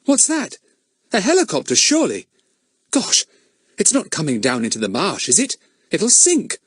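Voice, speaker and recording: Man playing an excitable well-spoken boy. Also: high voice, takes it slowly, breathy edge, no room sound, clean studio sound, voice pushed up, exaggerated voice. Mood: excited.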